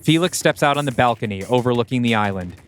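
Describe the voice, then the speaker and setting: A man's voice: mid-range voice, lively intonation, fast talker, faint music behind. A man hosting a podcast, reading scripted scene directions as the narrator of an audio play.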